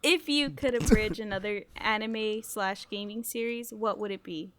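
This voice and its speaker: A woman's voice: medium pitch, normal speed, a bit bright, no room sound. A woman hosting a casual group podcast, talking informally and thinking aloud as she goes. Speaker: podcast host putting questions to the group.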